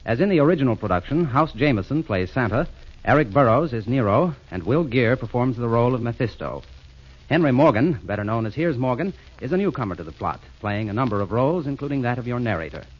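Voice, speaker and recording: Measured male announcer, 1940s lo-fi radio. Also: medium pitch, animated. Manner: formal, measured. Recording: dull old radio broadcast.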